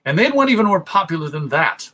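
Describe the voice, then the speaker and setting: Lecturer, male, American: slightly high, very bright voice, articulate storytelling delivery with dramatic emphasis. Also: very animated, quick pace. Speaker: presenter, radio show host. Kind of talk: illustrated history lecture.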